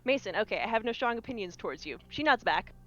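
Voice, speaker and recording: Lively woman on a slightly dull mic. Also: a little high, fast talker. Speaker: player in a tabletop game. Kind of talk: casual, improvised game talk.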